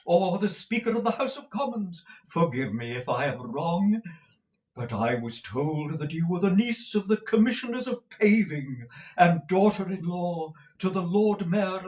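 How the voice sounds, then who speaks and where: A batty old gentleman from a storybook, his voice pitched up and oddly colored, as a male reader puts him on. He drawls his compliments slowly and with grand, flowery courtesy. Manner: flowery, theatrically gallant.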